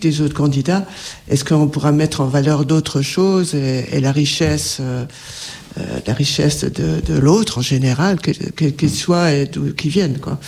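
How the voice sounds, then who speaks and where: Man on a discussion panel, kinda higher voice, earnest, pauses to find words. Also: vocal fry, a little mellow. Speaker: panel guest.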